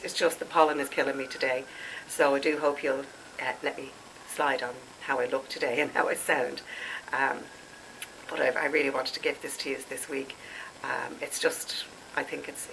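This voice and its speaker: Woman, late forties, deep but sharp-edged tone, congested, chatty rambling vlogger. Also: moderate pace, gravelly, a little hiss or hum, rough recording. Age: late 40s. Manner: chatty, rambling, laughs at herself. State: congested, stuffed up. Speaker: skincare vlogger. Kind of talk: casual chat to camera.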